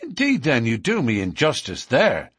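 A male narrator acts out a bold, wary storybook man who speaks firmly and bluntly. His challenges swing widely in pitch, with a husky edge. The sound is dry and close. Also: sing-song, normal speed, dry recording, exaggerated voice. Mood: frank, protesting. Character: stern, bold, wary newcomer man, loyal lodge member. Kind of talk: audiobook character dialogue.

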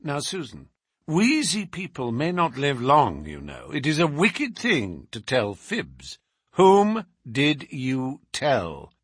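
A man's voice: a little high, wildly swooping pitch, slow pace, slightly rough, dry recording, clean studio sound, exaggerated voice. A man playing a shrewd detective in an audiobook, teasingly polite and commanding, with precise, probing questions. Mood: mocking.

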